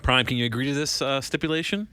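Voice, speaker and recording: A man co-hosting a radio show, an animated, playful questioner in casual studio banter. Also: mid-range voice, fast, clean tone, no echo. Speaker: radio co-host.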